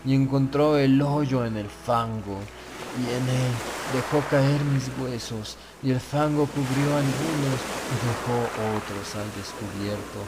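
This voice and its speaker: A man narrating a gothic horror tale in the first person, with a solemn, measured literary delivery. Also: mid-range voice, very noisy. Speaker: audiobook narrator. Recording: music bed under the voice. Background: prominent music.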